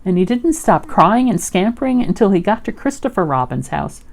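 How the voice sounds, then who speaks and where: A woman reading a children's story aloud as an expressive storyteller. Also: mid-range voice, normal speed, gravelly.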